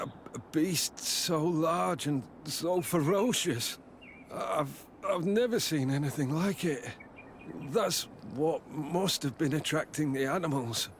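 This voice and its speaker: Slow, stammering, shaken soldier. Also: male voice, a little high, slight background noise. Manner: halting, stammering. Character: shaken male soldier, lone survivor of beast attack. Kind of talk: video game cutscene acting.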